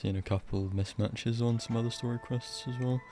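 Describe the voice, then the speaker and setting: A man's voice: medium pitch, fairly even intonation, a little fast. A man doing casual live gameplay commentary, musing aloud to himself as he streams. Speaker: game livestreamer. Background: game music.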